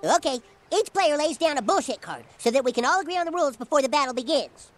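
A man's voice: very high-pitched, very animated, fast talker, slight background noise. A man voicing a meek, polite boy who solemnly presides over the rules. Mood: officious. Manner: polite, formal and soft. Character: meek cheerful little boy acting as rule keeper.

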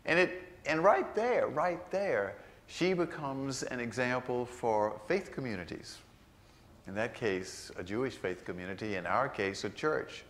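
Older man, priest, sermon, earnest, slow emphatic phrasing, large church sanctuary. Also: medium pitch, expressive, a bit bright, slight background noise. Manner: unhurried, earnest preaching with slowed emphatic phrases.

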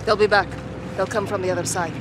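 An actor playing a terse, fierce, commanding resistance fighter in a military video game. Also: male voice, sits high, fairly even intonation, fast, natural voice. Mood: grim certainty. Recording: gunfire and vehicle noise behind.

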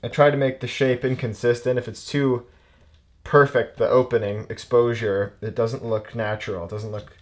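A young man giving a casual hands-on demonstration as an instructor, thinking aloud in halting phrases as he works. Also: medium pitch, little pitch movement. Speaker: woodcarving instructor. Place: indoor workshop.

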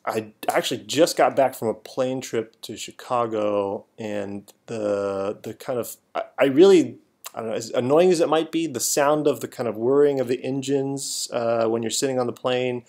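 Thirties male professor, relaxed classroom talk, animated, lots of restarts. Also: medium pitch, normal speed. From Florida. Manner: animated, gesturing, rambling restarts. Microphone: laptop webcam.

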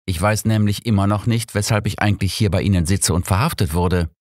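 A man's voice: sits low, fairly quick, a little mellow, dry recording, studio quality, natural voice. A man voicing a polite, earnest young suspect who is puzzled but cooperative, in an audiobook.

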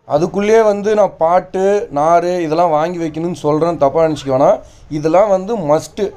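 A man who runs a bird farm, with a Tamil Nadu accent, talking viewers through his costs one by one in a casual how-to way. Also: sits high. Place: bird farm, amid birds. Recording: echoey, loud background noise. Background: birds chirping.

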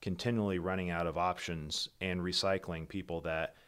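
A middle-aged man, the founder of a company, presenting a prepared keynote over slides in a steady, methodical, businesslike way, with the odd slip and restart. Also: sits low, normal speed, clear, resonant tone.

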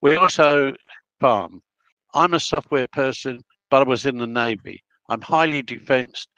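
A man's voice: medium pitch, measured pace, fairly bright tone, no room sound, low-quality recording. A man in his eighties with an Australian accent, a guest on an interview podcast, telling long rambling stories about his career with frequent self-interruptions. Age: 80s. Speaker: guest, project planner. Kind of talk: casual interview storytelling.

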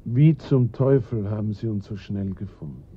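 An actor playing a suave, mocking kidnapper in a radio crime drama. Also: male voice, fairly low pitch, normal speed, mellow, natural voice. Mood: mocking, defiant. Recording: muffled recording with noisy background.

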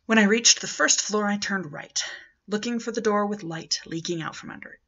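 A woman's voice: mid-range voice, a little fast, fairly bright tone, muffled recording. A woman in her thirties reading a novel aloud as scripted narration, recorded at home on a webcam. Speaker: amateur audiobook reader. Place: living room at home, indoors. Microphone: webcam or laptop at arm's length.

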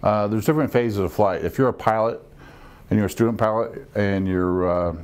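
A middle-aged man explaining things as an air traffic controller on a panel, measured and instructive. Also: lowish voice, a little mellow. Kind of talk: panel discussion, explanatory talk. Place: small meeting room, around a conference table.

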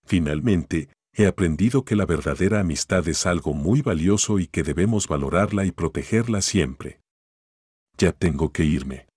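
Male actor as rugged cartoon echidna hero, low-pitched, raspy, friendly, loyal, simple scripted phrases, dry close sound. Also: animated, normal speed, darkish tone, no echo. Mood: earnest, proud. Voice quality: deep, husky. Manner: friendly, addressing a child listener in simple phrases. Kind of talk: scripted one-sided character phone call.

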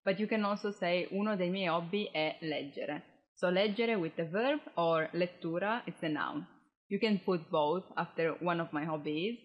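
A young woman with an Italian accent speaks as a language teacher addressing the camera. Her voice sits on the low side for a woman. She is a native Italian speaker, and the recording sounds a little dull and muffled. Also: normal speed. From Italy. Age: early 20s. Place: indoors, in front of a flag backdrop.

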